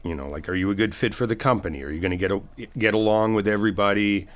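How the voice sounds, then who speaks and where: A man acts out an easygoing male best friend with a low voice. He talks in a casual, conversational way, reassuring and supportive, rephrasing as he goes. It is a one-sided ASMR comfort roleplay, spoken to a single friend. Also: fast, natural voice. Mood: reassuring, explanatory. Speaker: audio roleplay performer.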